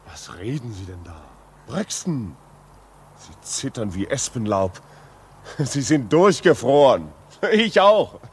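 An actor playing a concerned, educated gentleman visitor in a 1950s German radio drama, urbane and probing. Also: medium pitch, big pitch swings, slow pace, slight background noise. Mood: concerned, insistent. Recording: muffled-edged 1950s radio drama recording.

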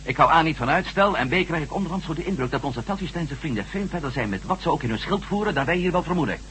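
A man's voice: on the high side, expressive, fast, natural voice. A man acting a resolute ex-astronaut reporter, a confident adventurer, in a Dutch radio drama. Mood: determined. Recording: noisy old radio play recording with hum.

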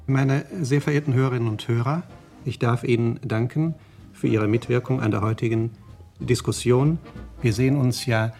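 A male actor plays a courteous, ceremonious chairman from an old radio play. His voice has a marked creak and sits at a middle pitch. Faint music runs behind him. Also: steady tone, moderate pace, a little mellow, close to an everyday voice. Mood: courteous, formal. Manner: formal, polite, ceremonious.

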